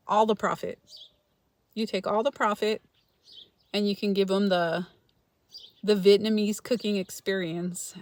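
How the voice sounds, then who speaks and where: A middle-aged woman from Los Angeles chats loosely with her viewers, answering them by name. She laughs often, and her pitch sits in the middle range for a woman. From Los Angeles, California. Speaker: frugal-living channel host reading viewer comments. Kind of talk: casual livestream chat.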